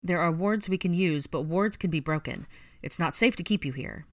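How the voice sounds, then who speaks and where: A woman's voice: fairly low pitch, moderate pace, no room sound, studio quality, natural voice. Woman voicing a grave, commanding young male wizard. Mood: grave, dark. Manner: serious, urgent, giving orders.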